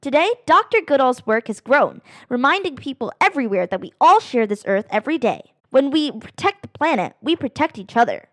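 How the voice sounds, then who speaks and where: A woman reading a children's picture book aloud in an animated, expressive way, drawing out phrases for dramatic emphasis. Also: sits high, fairly quick, hardly any pauses, no room sound, clean studio sound. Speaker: read-aloud narrator of children's books.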